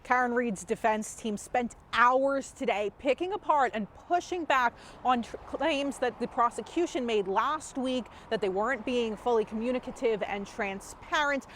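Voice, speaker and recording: A woman reporting for television news in a scripted reporter's delivery. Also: fairly high pitch.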